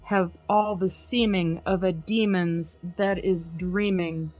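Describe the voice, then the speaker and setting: Slow dramatic woman reading poetry. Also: fairly low pitch, steady tone, highs cut off, faint music behind. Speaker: podcast host. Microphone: video call.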